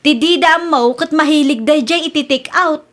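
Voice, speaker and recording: Woman doing a really high voiced ilocano village wife, gossipy and proud, comedy radio drama. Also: normal speed, fairly bright tone. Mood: indignant, mocking.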